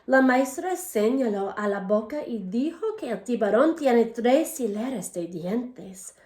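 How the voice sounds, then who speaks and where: A woman's voice: a little high, lively intonation, moderate pace, a little mellow. A woman teacher reading a picture book aloud to young children, first in English and then in Spanish, stopping to ask the class questions. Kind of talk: bilingual read-aloud for children. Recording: echoey room.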